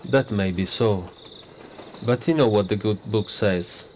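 A man's voice: on the low side, unhurried, a little mellow, a little hiss or hum, natural voice. An actor playing a blunt, self-satisfied prime minister in his sixties, wry and teasing, in a radio drama. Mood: self-assured. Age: 60s. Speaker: politician character.

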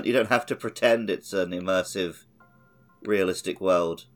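Blunt, low-voiced man over music. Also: unhurried. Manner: opinionated, blunt, sweary. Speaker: podcast host. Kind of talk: casual gaming podcast chat. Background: background music.

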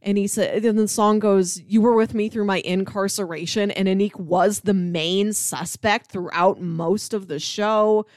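A woman's voice: mid-range voice, fairly even intonation, unhurried, few pauses, a little mellow, no room sound, studio quality. A woman co-hosting a podcast, talking casually through her thoughts on a television show. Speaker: podcast co-host. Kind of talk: casual TV discussion chat.

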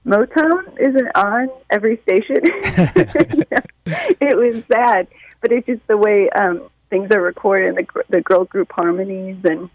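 Casting: American woman, musician guest, animated casual talker, gravelly creak, close and slightly muffled. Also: medium pitch, a little slow, no echo. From Detroit, United States. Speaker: musician and songwriter being interviewed. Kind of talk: casual chat, interview answers.

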